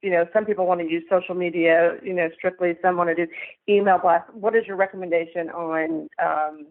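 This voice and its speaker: A woman's voice: fairly low pitch, fairly even intonation, fairly quick. A woman co-hosting a podcast in casual chat, hesitant and full of fillers as she asks questions. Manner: hesitant, many "um" and "you know". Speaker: podcast co-host. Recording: tinny phone line.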